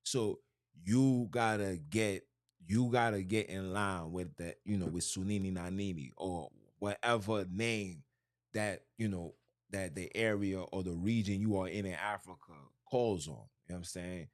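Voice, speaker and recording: A low-voiced man talks in a loose, rambling podcast style, full of slang and "you feel me" asides. He restarts his sentences and circles back to his points. His voice is recorded dry and close, with almost no room sound. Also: a little slow, no echo. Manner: loose, street-slang talk with frequent "you know what I'm saying" and restarts. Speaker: podcast host. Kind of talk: casual religious talk.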